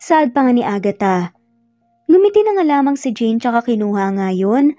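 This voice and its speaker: A woman's voice: on the high side, lively intonation, moderate pace, mellow, no room sound, lo-fi sound. A woman with a Filipino accent reading a scripted romance story as its narrator. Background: music.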